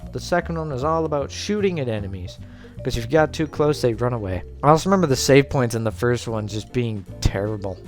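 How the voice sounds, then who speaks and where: A man's voice: medium pitch, expressive, fast, darkish tone. A man giving casual scripted commentary in a sarcastic, informal manner. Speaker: host of a video essay series. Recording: background music under voice. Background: music.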